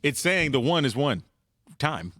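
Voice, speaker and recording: A man's voice: on the high side, sing-song, a little slow, sharp tone, no room sound. A man co-hosting a casual podcast, joking and playful in the banter. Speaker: podcast co-host. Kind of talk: casual chat.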